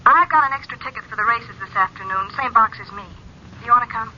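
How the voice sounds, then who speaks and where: A woman's voice: fairly high pitch, fast talker, a little hiss or hum. A woman acting an urgent, pleading caller through a telephone filter in old-time radio drama. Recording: muffled vintage radio, telephone sound.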